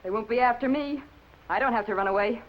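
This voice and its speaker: An actress playing a selfish, hard-boiled American femme fatale in a 1950 film noir, slangy, cool and brazen. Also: female voice, on the high side, quick pace, close to an everyday voice. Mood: cold, defiant. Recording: dull old film soundtrack, faint noise.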